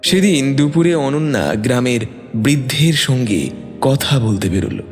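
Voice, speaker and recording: Expressive male narrator with long reverb. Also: mid-range voice, unhurried. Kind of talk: dramatic scripted story narration. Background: music.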